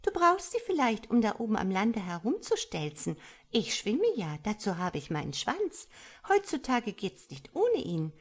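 Woman as a high, cheeky young tadpole girl. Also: huge pitch swings, moderate pace, husky. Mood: flippant. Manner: pert, flippant, dismissive. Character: cheeky young female tadpole, smug, carefree and modern.

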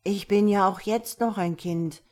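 A woman's voice: medium pitch, expressive, measured pace, darkish tone. A woman voicing an innocent, curious little boy in a storybook, with a pleading, childlike way of asking. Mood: pleading, earnest. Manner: pleading, wide-eyed, childlike questioning. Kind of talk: storybook character voice.

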